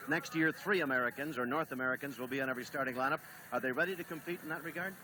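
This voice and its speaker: A man doing play-by-play on a 1970s television soccer broadcast, briskly reading lineups and standings. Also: mid-range voice, pushed and bright, slight background noise. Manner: brisk, reads lineups and stats. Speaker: play-by-play announcer.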